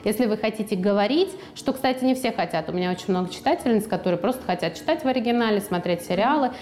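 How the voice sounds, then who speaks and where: A woman guest on a studio podcast, talking in a lively, chatty way and now and then acting out what people said to her. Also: medium pitch, fast. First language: Russian. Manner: lively, chatty, acts out quoted speech. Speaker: guest author. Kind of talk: podcast conversation.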